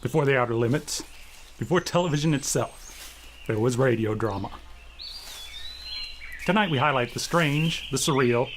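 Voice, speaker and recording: A man's voice: mid-range voice, slight background noise. A man reading a scripted, suspenseful introduction as the presenter of a radio drama program.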